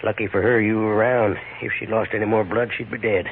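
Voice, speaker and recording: Gruff, low-voiced frontier doctor played by a man. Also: steady tone, quick pace, natural voice. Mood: curt, weary. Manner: brusque, testy, matter-of-fact. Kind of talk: 1950s radio western acting. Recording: muffled, noisy old radio broadcast.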